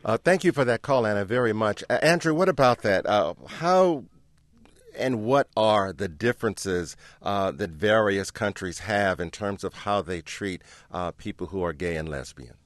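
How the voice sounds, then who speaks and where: A man hosting a public radio call-in show, asking his questions in a measured, deliberate way from a studio microphone. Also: fairly low pitch, animated, dry recording. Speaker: radio talk show host.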